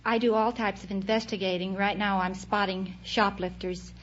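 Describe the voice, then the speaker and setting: A woman's voice: medium pitch, flat delivery, normal speed. A young American woman answering a host's questions as a quiz show contestant. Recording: muffled vintage broadcast, noisy studio audience.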